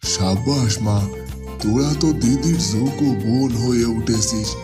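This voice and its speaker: Brief: man as a warm-hearted cartoon village father, middle pitch, steady. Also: a little slow, over music, close to an everyday voice. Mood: fond.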